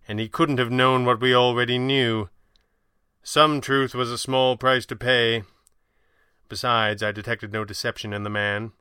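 A composed male crimefighter with dry wit, voiced by a man reading an audio drama. He is articulate and measured, with a bright, very clean tone in a dry, close recording. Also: mid-range voice, steady tone, smooth, no room sound. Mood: matter-of-fact.

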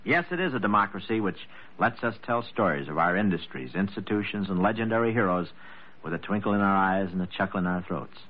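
A man's voice: low-pitched, animated, brisk. An American man giving a scripted, folksy and oratorical storytelling address in 1940s radio style. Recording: muffled vintage radio broadcast.

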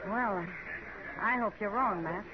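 A woman's voice: medium pitch, slow, over a noisy crowd, close to an everyday voice. A woman with an American accent playing a friendly, worldly saloon woman in a 1950s radio western. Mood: concerned. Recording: muffled, noisy old radio broadcast.

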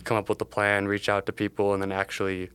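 A young man from the Chicago area speaks in a low, level voice, strikingly clean and resonant, recorded close and dry. He answers like a startup founder in a casual interview, thinking aloud in a meandering way. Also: monotone, fast, fairly bright tone, smooth, dry recording. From Chicago area, USA. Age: young adult. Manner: thoughtful, meandering phrasing.

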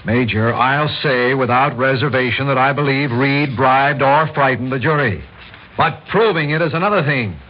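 An actor playing an embittered, stubborn, short-tempered district attorney with an American accent, curt and emphatic, in old-time radio drama style. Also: male voice, medium pitch, normal speed, lightly acted. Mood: defensive. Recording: muffled, noisy old radio recording.

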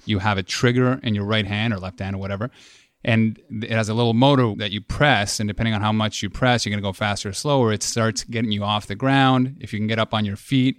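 A man's voice: lowish voice, dry recording, clean studio sound. A man from Peru, a podcast guest, telling stories with enthusiasm in casual conversation. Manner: enthusiastic storyteller. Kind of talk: casual interview chat.

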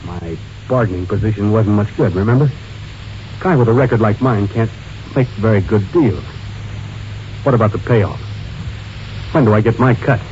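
An actor playing a wary, resentful small-time crook, blunt and slangy, in a 1940s radio crime drama. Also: male voice, lowish voice, normal speed, pauses often, a little mellow, lightly acted. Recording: muffled, faintly noisy old radio recording.

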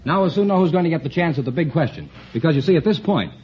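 A man's voice: fairly high pitch, expressive, very fast, darkish tone, slightly rough. A man announcing on a radio quiz show as co-host, presenting scores and guests politely. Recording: dull vintage radio recording with audience. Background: studio audience.

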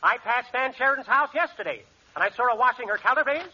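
An actor playing a hotel manager who rattles off confident double-talk gibberish in a 1940s radio comedy. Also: male voice, extremely high pitch, animated, fast, sharp tone, husky, full character voice. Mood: pompous. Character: fast-talking male manager spouting double-talk gibberish. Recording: hissy lo-fi old radio recording.

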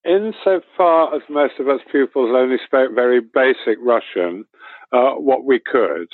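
A man in his sixties, an interview guest recalling a trip in a measured, dryly understated way. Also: mid-range voice, no echo. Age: 60s. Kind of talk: recalling a school trip in conversation. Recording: tinny phone-line sound.

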